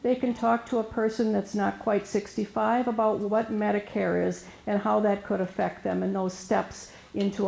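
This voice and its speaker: Soft-voiced woman with a gravelly tone. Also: medium pitch, a little hiss or hum. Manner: hesitant, many fillers and restarts. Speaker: resource specialist giving a presentation. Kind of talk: informal presentation to an audience. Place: meeting room with an audience. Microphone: room microphone recorded for camera. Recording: roomy echo.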